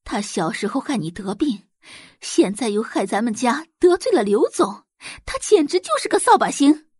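A woman's voice: fairly high pitch, sing-song, moderate pace, a little mellow, slightly rough, no echo, clean studio sound. A woman voicing a bitter middle-aged mother who scolds with indignant scorn in an audio drama. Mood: scornful, indignant.

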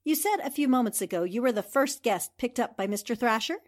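An actress plays a probing newspaper reporter in a forties radio play. Her voice is fairly high, and she delivers questions and deductions with incisive confidence. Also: animated, a little fast, close to an everyday voice. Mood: assured. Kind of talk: 1940s radio drama acting.

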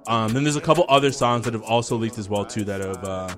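A man's voice: on the low side, fast, fairly bright tone, faint music behind. A man hosting a podcast in casual banter, talking in a slangy, profane way. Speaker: podcast host.

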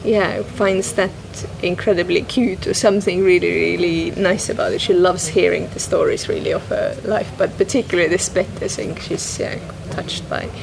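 A woman whose first language is Estonian speaks thoughtfully as a guest, pausing often and restarting her sentences. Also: lowish voice, steady tone, creaky. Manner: thoughtful, hesitant, many restarts and "you know". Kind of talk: reflective conversation about a poem. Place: bookshop, indoors. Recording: noisy public bookshop.

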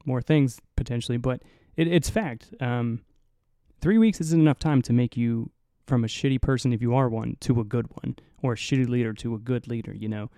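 Young dude podcasting solo, low mumbly dark voice, swears a lot, mouth right on the mic. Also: male voice, brisk, no room sound, clean studio sound. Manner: rambling, profane, self-mocking. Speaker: podcast host. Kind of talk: casual solo podcast talk. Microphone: close to the mic.

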